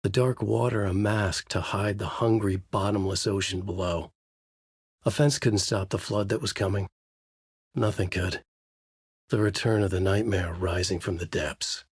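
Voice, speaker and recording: An actor playing a brooding, haunted male novelist who narrates his dark horror thriller in a measured, grave, husky voice for a video game. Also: low voice, fairly even intonation, dry recording, clean studio sound, exaggerated voice. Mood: foreboding. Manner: measured, grave, dramatic delivery. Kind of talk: scripted noir horror narration.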